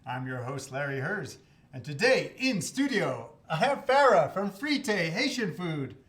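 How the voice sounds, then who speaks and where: A man's voice: on the high side, sing-song, fairly bright tone. Chatty upbeat male show host. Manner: upbeat, drawn-out greetings, chatty. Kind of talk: casual interview. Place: studio.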